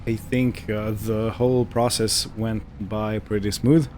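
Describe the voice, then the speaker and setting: A man's voice: on the low side, little pitch movement, measured pace, a little mellow, slight background noise. A man giving casual, musing gameplay commentary, hesitant and thinking aloud as he goes. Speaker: gaming video host.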